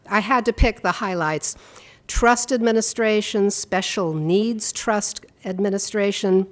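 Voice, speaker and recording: A woman introduces speakers at a community workshop in a church hall, speaking into a microphone. Her voice sits in a middle range, and she reads out a bio with playful, warm asides. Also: mid-range voice. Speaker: panel moderator.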